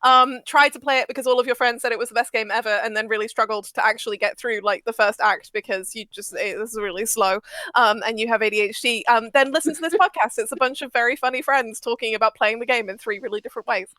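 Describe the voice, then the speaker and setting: A woman chatting casually and brainstorming on a panel, in an excitable, emphatic manner. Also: a little high, fast talker, dry recording. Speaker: guest panelist. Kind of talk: casual chat, improvised story brainstorming.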